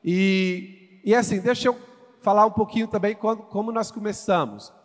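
A man's voice: sits high, steady tone, normal speed. A man in his fifties from Brazil with a Brazilian accent, a guest pastor addressing a congregation, exclamatory and asking the crowd questions. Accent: Brazilian Portuguese, from Goiás. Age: 50s. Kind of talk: sermon introduction to a congregation. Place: church sanctuary. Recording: church hall reverb.

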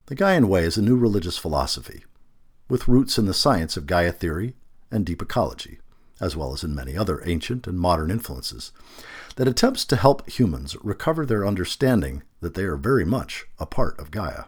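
A man with a husky voice reading a scripted article aloud, phrasing deliberately and stressing key words. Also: low-pitched. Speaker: narrator reading a magazine feature aloud.

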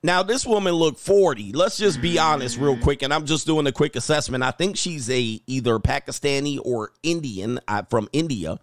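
A man in the middle of his range hosts a relaxed livestream, chatting and cracking jokes between viewer comments. He laughs easily and rambles in a loose, offhand way. The voice sits close and dry, with no room sound around it. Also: medium pitch, moderate pace, few pauses, dry recording, faint music behind. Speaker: dating coach and show host. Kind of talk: casual livestream chat reading viewer comments.

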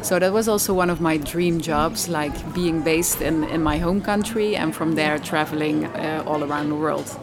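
A woman's voice: low-pitched, normal speed, hardly any pauses. A woman from the Netherlands with a Dutch accent, answering casually as an interview guest. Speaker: interview guest, yoga teacher. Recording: crowd chatter behind.

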